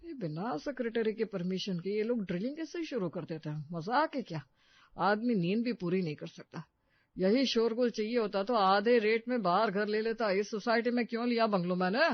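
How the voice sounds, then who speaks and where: A woman's voice: medium pitch, animated, normal speed, dry recording, lightly acted. Woman playing a grumbling, exasperated father. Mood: irritated. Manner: complaining, exasperated. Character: Vinod, grumbling middle-aged family father. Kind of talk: Hindi audio story acting. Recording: slightly dull, dry studio recording.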